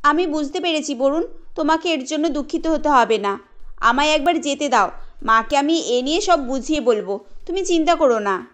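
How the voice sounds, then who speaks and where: A woman voicing a caring, polite young daughter-in-law in a cartoon, gentle and concerned. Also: high voice, normal speed. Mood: reassuring. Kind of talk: cartoon dialogue acting.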